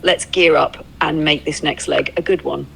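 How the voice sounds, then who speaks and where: A woman's voice: low-pitched, fairly even intonation, normal speed, vocal fry, a bit bright, slight background noise, rough recording. A synthetic woman's voice playing an easygoing, upbeat travel companion, conversational, reflective and encouraging. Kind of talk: AI voice companion in casual roleplay chat. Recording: music behind the voice. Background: music.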